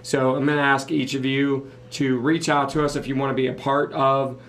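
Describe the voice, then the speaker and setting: A man hosting a podcast in a casual, conversational way, his voice hoarse as if he is losing it. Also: mid-range voice, level tone, moderate pace, a bit bright. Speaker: podcast host. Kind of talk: casual talk, sponsor thanks.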